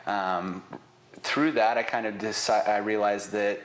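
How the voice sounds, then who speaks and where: A man in his twenties who grew up in Israel and speaks Hebrew as his first language, answering interview questions in an earnest way, with fillers and restarts. His voice sits low. The studio gives it a roomy echo. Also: steady tone, a little slow. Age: 20s. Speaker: business owner, guest. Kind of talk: casual interview.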